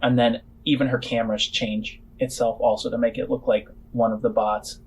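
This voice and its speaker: A man's voice: mid-range voice, flat delivery, moderate pace, slight background noise. A man narrating a tabletop roleplaying game as game master, improvising and making spoken sound effects.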